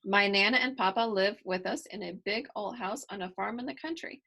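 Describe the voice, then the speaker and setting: A woman's voice: medium pitch, fairly quick, vocal fry, bright, forceful tone. A woman voicing a bubbly, fashion-proud seven-year-old farm girl in a children's storybook, with enthusiastic emphasis. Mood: cheerful. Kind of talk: first-person children's storybook narration.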